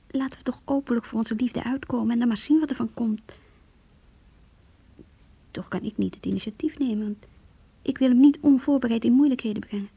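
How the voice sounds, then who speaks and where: A woman's voice: fairly high pitch, mellow, breathy edge, dry recording, lo-fi sound. An actress playing an earnest, lovesick young woman who confides her diary in a Dutch radio play. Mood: wistful, longing. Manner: earnest, intimate.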